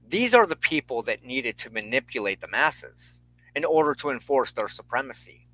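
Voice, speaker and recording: A man speaking as a spiritual teacher, delivering a sermon-like lecture in solemn, measured, rhetorical phrases. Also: medium pitch, a bit bright, rough recording. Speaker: spiritual teacher and show host.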